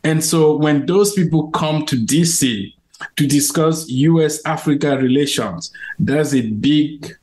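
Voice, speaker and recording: Man, cameroonian accent, fairly high voice, earnest, lots of fillers, interview answers. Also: a little slow, darkish tone, husky. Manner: earnest, with frequent fillers and restarts.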